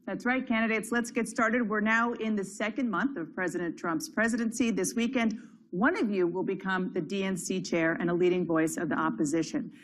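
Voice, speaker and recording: A woman moderating a televised political debate, reading crisp scripted introductions and questions. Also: mid-range voice, steady tone, brisk.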